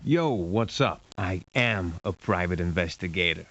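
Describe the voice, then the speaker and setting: Low-voiced private eye, muffled hiss. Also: male voice, lively intonation, unhurried, fairly bright tone, full character voice. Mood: cool, dry. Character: cool hard-boiled male private investigator. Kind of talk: video game character acting. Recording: dull lo-fi game audio with hiss.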